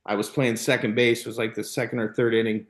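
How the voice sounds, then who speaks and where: An American man in his thirties with a mid-pitched voice, chatting as a podcast guest. He tells stories in a loose, rambling way, with plenty of "you know" and "like" along the way. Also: level tone, quick pace. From Illinois, United States. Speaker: podcast guest, former baseball player and youth coach. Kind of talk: casual anecdotal storytelling.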